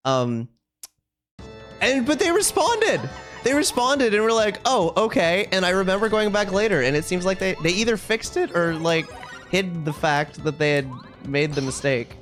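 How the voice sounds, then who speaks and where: A man's voice: high-pitched, sing-song, moderate pace, a bit bright, soft background music. A man livestreaming a video game, chatting casually with viewers and commenting on play in a wry, ranting way.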